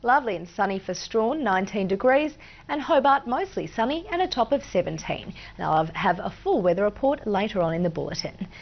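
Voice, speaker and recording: A woman's voice: medium pitch, very animated, brisk, hardly any pauses, highs cut off. An Australian woman working as a news anchor, reading scripted bulletin copy.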